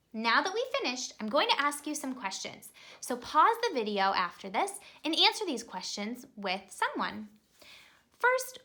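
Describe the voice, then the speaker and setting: Lively, bright-voiced woman reading aloud. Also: on the high side. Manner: expressive, animated storytelling with questions to young listeners. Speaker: storybook reader. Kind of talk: children's read-aloud.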